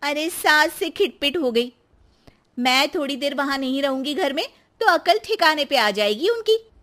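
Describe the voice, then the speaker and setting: A woman voicing a sharp-tongued, complaining young daughter-in-law in a cartoon family story. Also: high voice, animated, normal speed. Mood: lighter, familiar. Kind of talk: dramatised Hindi moral cartoon story.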